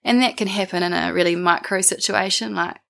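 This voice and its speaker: A young woman with a New Zealand accent and a croaky voice from a winter bug, answering thoughtfully as an interview guest, searching for her words. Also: fairly low pitch, fairly even intonation, a little fast, fairly bright tone. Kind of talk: reflective interview conversation.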